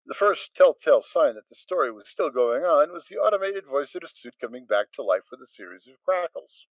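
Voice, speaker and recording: A man narrating a fan audio drama, reading with expressive storytelling. The audio is dry and slightly dull. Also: a little high, brisk, no echo.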